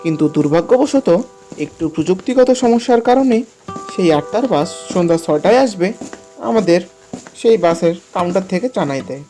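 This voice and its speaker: A storyteller narrates a scripted horror tale for a cartoon in the first person. The voice is medium in pitch, with guitar music clearly heard underneath. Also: lively intonation, normal speed, dark tone.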